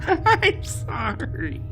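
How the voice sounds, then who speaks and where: A woman's voice: extremely high pitch, exaggerated voice. A woman playing a cowering, half-crazed shopkeeper prisoner in an audio drama, whimpering manically.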